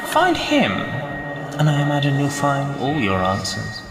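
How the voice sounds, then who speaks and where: A man's voice: medium pitch, wildly swooping pitch, slow, roomy sound, long reverb tail, full character voice. An actor playing an eccentric, teasing, mysterious male ally in an audio drama, with a theatrical, playful delivery. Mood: teasing, knowing.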